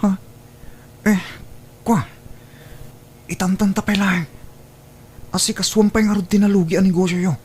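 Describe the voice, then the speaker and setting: A self-serving young Ilocano suitor, acted by a man in a radio-style drama. The voice is dark and rough-edged, and he talks in a plain, simple way. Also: high voice, fairly even intonation, moderate pace, long pauses. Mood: uneasy, evasive. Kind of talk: dramatized Ilocano audio story acting.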